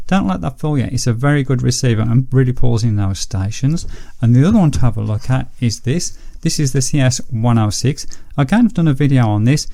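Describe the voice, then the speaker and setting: A man's voice: mid-range voice, gravelly, warm tone, no echo, studio quality. A man presenting a product review in a casual, explanatory, step-by-step way.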